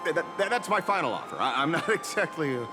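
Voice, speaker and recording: A man's voice: on the high side, sing-song. An actor playing a theatrical, slightly awkward male detective with a vengeful streak in a video game. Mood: awkward, pleading. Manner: theatrical, swings from grave and measured to flustered stammering. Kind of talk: dramatic video game character acting. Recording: background music under dialogue.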